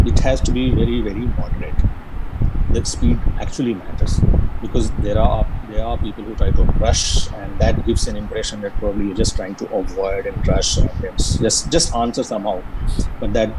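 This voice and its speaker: Low-voiced man with a Bihari accent. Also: heavy background noise. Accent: Bihari-Jharkhand Indian English. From Jharkhand, India. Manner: earnest, explanatory, frequent restarts and repetitions. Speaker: career guidance speaker. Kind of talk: online lecture on interview skills.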